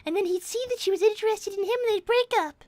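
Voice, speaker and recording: A woman's voice: very high voice, fast talker, a little mellow, no room sound, studio quality. A woman voicing a young girl rabbit, a prim, indignant schemer who speaks politely and formally in improvised cartoon roleplay. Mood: scheming. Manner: polite, prissy, formal wording.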